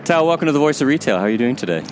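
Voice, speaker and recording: A man's voice: medium pitch, sing-song, racing, music in the background. A man hosting a retail podcast, reading a scripted intro and then interviewing a guest, with some hesitant restarts in his questions. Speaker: podcast host. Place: open-roof podcast booth at a trade show.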